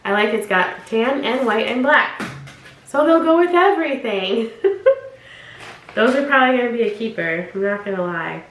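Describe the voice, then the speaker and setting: A woman's voice: on the high side, lively intonation, moderate pace, a bit bright, soft background music. Bubbly stuffed-up woman, echoey room. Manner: bubbly, rambling, laughs often. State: stuffed up from allergies. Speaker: fashion unboxing vlogger. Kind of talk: casual chatty vlog.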